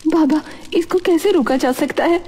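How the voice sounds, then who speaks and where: A woman's voice: extremely high pitch, moderate pace, mellow. A woman playing an earnest village woman in a cartoon horror story. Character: earnest village woman recounting her burden. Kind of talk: Hindi animated story dialogue.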